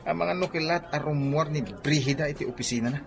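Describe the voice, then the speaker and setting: Higher-voiced teasing male buddy. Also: quick pace, vocal fry. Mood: teasing, hushed. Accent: Ilocano. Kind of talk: Ilocano radio drama acting.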